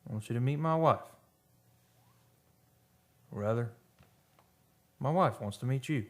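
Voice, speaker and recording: An actor playing a haunted, obsessed oil driller confessing a horror in a halting, brooding radio monologue. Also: male voice, mid-range voice, natural voice. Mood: eerily courteous. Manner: halting, brooding, dramatic storytelling.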